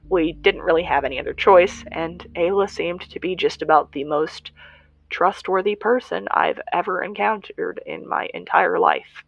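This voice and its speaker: A woman playing an earnest young survivor who hosts a radio show in an audio drama, confiding reflectively in listeners with halting pauses. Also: fairly low pitch, vocal fry, fairly bright tone, no echo, low-quality recording. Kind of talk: first-person audio drama monologue, podcast-style confiding.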